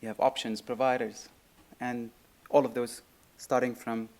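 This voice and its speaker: A man's voice: medium pitch, flat delivery, measured pace, darkish tone. A man from Syria with a Syrian Arabic accent, giving an informal, light-hearted technical talk to an audience. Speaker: workshop co-presenter, software engineer.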